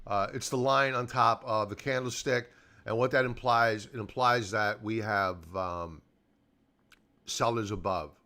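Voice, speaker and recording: A man's voice: fairly low pitch, fairly even intonation, a little slow, bright, forceful tone. A man hosting a stock trading show, giving live chart commentary in an informal, emphatic way, repeating key points and answering his own questions. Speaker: stock trading show host and technical analyst.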